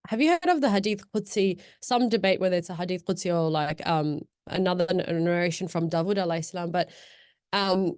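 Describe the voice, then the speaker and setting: A woman's voice: fairly low pitch, fairly quick, darkish tone, clear, resonant tone, no room sound. Young woman guest, reflective and chatty, dry close recording. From Afghan, born in Pakistan, raised in Australia. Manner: thoughtful, rambling, many fillers and restarts. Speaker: podcast guest. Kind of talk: casual reflective chat.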